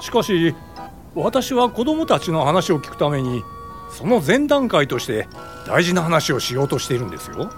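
A man's voice: fairly high pitch, big pitch swings, moderate pace, faint music behind. A man playing a pompous, lecturing former school principal turned child welfare officer in a radio drama. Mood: defensive. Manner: lecturing, self-important, indignant.

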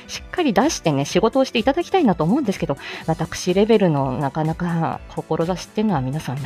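A woman's voice: mid-range voice, darkish tone. A woman hosting a live audio show in a casual, chatty way, candid and self-deprecating, often breaking into laughter as she talks. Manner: laughs often while talking. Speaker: host of a live audio show, speech therapist. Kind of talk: casual chatty solo livestream talk.

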